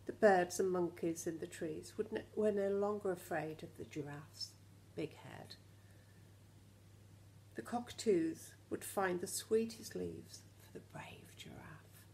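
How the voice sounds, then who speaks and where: A woman reads a picture book to young children in a fairly low voice with a creaky edge. She is expressive and often speaks to the children directly with questions. A faint layer of noise sits behind her. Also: a little slow. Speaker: teacher reading a storybook to young children. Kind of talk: expressive children's storybook reading with asides to the listeners.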